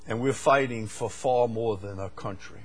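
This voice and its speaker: An older man from the United States preaching a sermon, swinging from loud, fervent stretches to quiet asides. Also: medium pitch, normal speed, husky, faint music behind.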